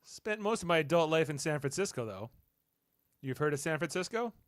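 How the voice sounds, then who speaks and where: A man playing an earnest, resolute starship captain in amateur radio theatre, speaking in a measured way. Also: on the high side, expressive, dry recording, studio quality, lightly acted.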